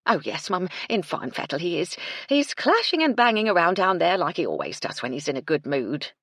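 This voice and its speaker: A woman's voice: on the low side, very animated, fairly quick, a bit bright, dry recording, studio quality, full character voice. A woman doing a flustered housekeeper. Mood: worried. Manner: flustered, homely, deferential. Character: bustling older female housekeeper, fretful.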